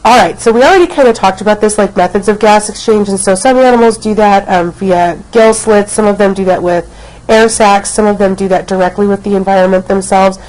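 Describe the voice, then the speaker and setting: A woman's voice: mid-range voice, fairly even intonation, a little fast, darkish tone, rough recording. A woman teacher recording a casual biology lecture, snuffly from a cold, with many ums as she talks. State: has a cold, snuffly and coughing. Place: at home, not at school.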